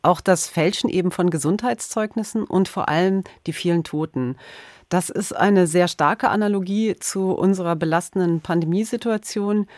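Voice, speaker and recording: A woman's voice: lowish voice, darkish tone, no echo, clean studio sound. A woman speaking as a radio host, presenting and asking prepared questions in an interview style.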